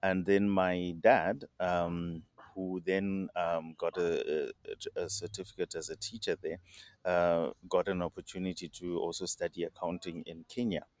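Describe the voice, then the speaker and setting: A man's voice: deep voice, little pitch movement, measured pace, darkish tone, dry recording. A man from Zimbabwe, a guest on an interview show, who answers reflectively with many hesitations and repeated words over a video call.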